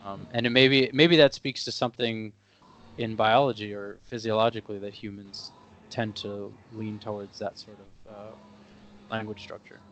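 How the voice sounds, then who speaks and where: A young man with a fairly low voice talks casually on a podcast. He explains things step by step, leaning on fillers and small pauses as he goes. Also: steady tone, fairly bright tone. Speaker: podcast co-host. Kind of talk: casual conversation.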